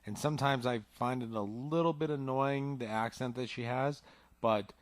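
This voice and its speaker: A man's voice: mid-range voice, moderate pace, no room sound. A man co-hosting a casual chat show, speaking hesitantly and searching for words. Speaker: podcast co-host.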